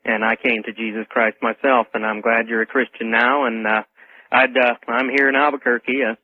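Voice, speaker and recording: A man calling a radio show, talking casually and haltingly about himself. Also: medium pitch, flat delivery. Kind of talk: casual, halting personal testimony. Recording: tinny narrow phone line.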